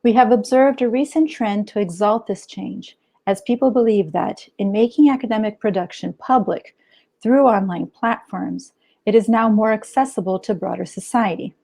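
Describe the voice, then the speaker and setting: A woman in her thirties, a doctoral student, giving a steady, formal reading of an academic talk. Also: medium pitch, normal speed, vocal fry. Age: 30s. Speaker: anthropology PhD student. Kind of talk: read academic conference talk.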